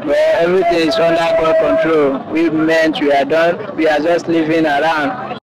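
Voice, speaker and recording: A man's voice: on the high side, level tone, normal speed, heavy background noise. A young man who is a new student, answering earnestly into a handheld mic on an outdoor campus. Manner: earnest, speaks of God. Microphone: handheld microphone. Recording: loud crowd outdoors.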